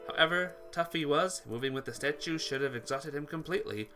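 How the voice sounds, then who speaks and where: A man's voice: on the high side, a little fast, pushed and bright. A man hosting a game playthrough, reading visual novel narration aloud dramatically and stumbling over some words. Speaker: gaming playthrough host. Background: game music.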